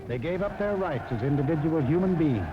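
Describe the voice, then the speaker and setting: High-pitched American man, 1940s propaganda narrator. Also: lively intonation, fairly quick, very noisy. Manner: emphatic, dramatic. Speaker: documentary narrator. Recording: dull 1940s film soundtrack, loud music.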